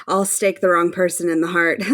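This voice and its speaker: A woman's voice: lowish voice, unhurried. A woman co-hosting a podcast in casual chat, easygoing, blunt and informal. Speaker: podcast co-host.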